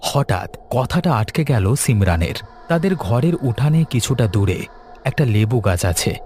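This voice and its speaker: A man's voice: mid-range voice, very animated, unhurried, darkish tone, a little hiss or hum. A man giving dramatic scripted narration for a cartoon ghost story.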